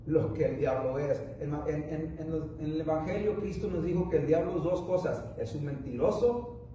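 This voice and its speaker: A man's voice: a little high, mellow, very echoey, recorded from a distance, a little hiss or hum, low-quality recording. A man preaching a sermon, a native English speaker who halts and restarts often as he speaks. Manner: rambling, halting with many restarts and fillers. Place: church.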